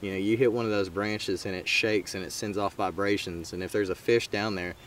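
A man with a very low voice, rambling in a relaxed way as he explains fishing gear. He sits a little back from the mic, and faint noise runs under the recording. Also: a little fast, distant mic. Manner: relaxed, rambling, many fillers. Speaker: fishing channel co-host.

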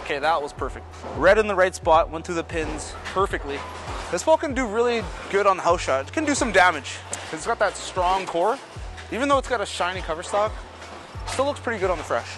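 High-voiced man giving relaxed shot-by-shot commentary. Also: fairly quick, music in the background. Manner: relaxed, talks through each shot and adjustment. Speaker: bowling ball reviewer. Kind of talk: casual running commentary while bowling. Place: large indoor bowling alley.

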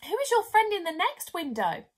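Young storybook boy, read by a woman: high, eager, polite, calling out with big swoops. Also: huge pitch swings, moderate pace, exaggerated voice. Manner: loud, clear calling out.

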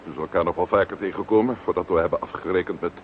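An actor playing a courtly, evasive professor of surgery, formal and hesitant, in an old radio play. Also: male voice, low-pitched, steady tone, rapid-fire, narrow, tinny audio, lightly acted. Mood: formal, grave. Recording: noisy, thin old radio recording.

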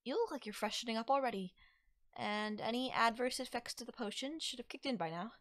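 A woman voicing a playful, cryptic, teasing witch in an ASMR audio roleplay, coy and given to musing pauses. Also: fairly high pitch, fairly bright tone, no room sound, close to an everyday voice. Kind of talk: ASMR audio roleplay, acted straight to the listener.

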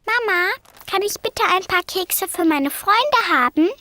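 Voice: extremely high pitch, animated, a little slow, a little hiss or hum, full character voice. A performer voicing a secretive, playful little girl animal in a German-dubbed preschool cartoon, with a conspiratorial childlike manner. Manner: conspiratorial, childlike, often hushing.

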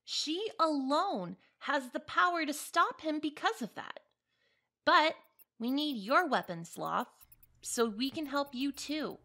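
A woman's voice: high voice, lively intonation, takes it slowly, sharp tone, exaggerated voice. A woman playing an eager, cheeky young female from heaven in an audio drama, perky and coaxing. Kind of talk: audio roleplay drama acting.

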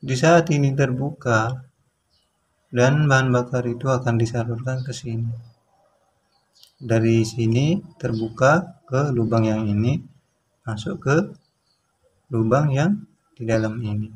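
A man walks through a repair at his workbench, explaining each part in a plain, practical way with plenty of fillers. His voice sits at a middle pitch, and faint background noise runs under the recording. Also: a little slow, long pauses, a little mellow, rough recording. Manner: plain, explanatory, with frequent fillers and tag words. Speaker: small engine repair instructor. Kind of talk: hands-on repair tutorial.

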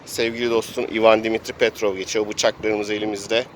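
A man's voice: on the low side, flat delivery, fairly quick. A man narrating an improvised tabletop adventure as game master, in a theatrical storytelling way. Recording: noisy outdoor recording with traffic ambience.

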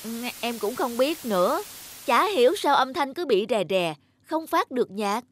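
A man's voice: extremely high pitch, very animated, moderate pace, fairly bright tone, full character voice. A man voicing an anxious, aging cassette radio in a cartoon, earnest, polite and plaintive. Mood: worried. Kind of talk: dubbed cartoon dialogue.

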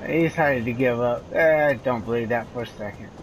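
A man's voice: mid-range voice, fairly quick, soft background music, low-quality recording. A man giving casual, blunt gameplay commentary, talking as if to himself. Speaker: video game player.

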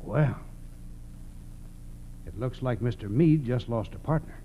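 An American actor playing a brisk, probing male FBI agent, matter-of-fact, in a 1940s radio drama. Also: medium pitch, lively intonation, highs cut off, natural voice. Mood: observant. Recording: noisy, humming vintage radio recording.

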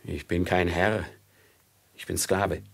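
Ein Schauspieler spielt in einem Hörspiel der 1950er einen versklavten, höflichen und leidenschaftlichen Edelmann mit belegter Stimme. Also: male voice, low-pitched, little pitch movement, slow, vocal fry, heavily acted. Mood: commanding, urgent. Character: noble merchant enslaved, deferential yet passionate schemer. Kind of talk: 1950s German radio play acting. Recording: 1950s mono radio drama with music.

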